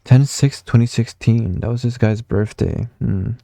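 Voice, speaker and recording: A man's voice: mid-range voice, steady tone, a little slow, gravelly, warm tone, dry recording. A young man of about nineteen, rambling casually with lots of fillers and the odd swear as he looks back through old console messages. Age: young adult. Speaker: gaming vlogger. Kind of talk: casual reminiscing commentary.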